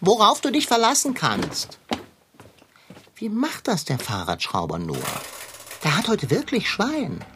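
A woman's voice: mid-range voice, huge pitch swings, a little slow, pauses often, background noise. A woman voicing a prim, haughty tomcat. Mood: dreamy musing. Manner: prim, pompous, elaborate wording. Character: fussy, haughty male tomcat. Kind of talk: children's radio play acting.

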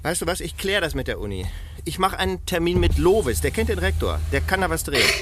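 An insistent, high-voiced middle-aged father. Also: big pitch swings, fast talker, soft background music. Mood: pleading, insistent. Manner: stammering, repeating, insistent. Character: driven, fast-talking father and car-industry manager insisting he'll fix everything. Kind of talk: naturalistic radio drama dialogue.

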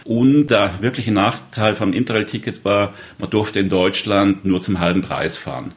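A man in his fifties from southern Germany speaks in a low voice. He tells his memories conversationally, as someone being interviewed. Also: moderate pace. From southern Baden, Germany. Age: 50s. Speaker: interviewee recalling rail travels. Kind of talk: conversational storytelling.

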